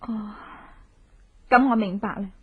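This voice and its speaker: A woman's voice: medium pitch, steady tone, breathy edge, phone-quality sound. An actress playing a determined young avenging woman who coaxes sweetly, in Hong Kong Cantonese radio drama style. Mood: resolute, earnest. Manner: coaxing, theatrical, drawn-out sentence particles.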